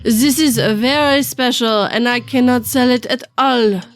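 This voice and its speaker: A woman voicing an older, reluctant French male shopkeeper in a storytelling piece, with theatrical, halting phrasing and a French accent. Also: fairly high pitch. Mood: startled, grave. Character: older French male art shop keeper, cagey and reluctant.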